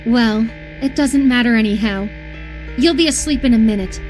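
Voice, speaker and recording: A woman playing an obsessive, sweetly menacing young female captor in a dramatic horror visual novel, coaxing with a sharp edge. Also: fairly high pitch, moderate pace, soft background music, natural voice, rough recording. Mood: menacing, soothing.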